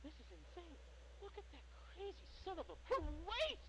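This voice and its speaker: A man playing an excitable, nervous night guard in a game, rambling and exclaiming in frantic narration. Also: extremely high pitch, moderate pace, heavy background noise, exaggerated voice. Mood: frantic disbelief. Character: excitable, nervous young male night guard recounting scary footage. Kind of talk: animated first-person video narration.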